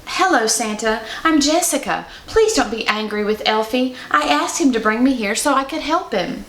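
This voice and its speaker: A woman's voice: a little high, expressive, moderate pace, a bit bright, echoey room. A woman voicing a loyal, brave young girl in a children's storybook, speaking earnestly and emphatically. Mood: pleading. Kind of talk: children's storybook read-aloud.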